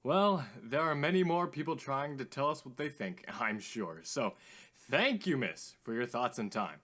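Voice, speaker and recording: A man playing a courteous, theatrical radio call-in host with formal, drawn-out greetings in a radio drama. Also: medium pitch, lively intonation, a bit bright. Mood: polite, theatrical.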